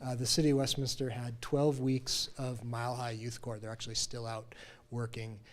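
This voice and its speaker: A middle-aged man, a city open space official, answering residents' questions at a public meeting in a low-key, explanatory way. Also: flat delivery, moderate pace. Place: community meeting room. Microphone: handheld mic.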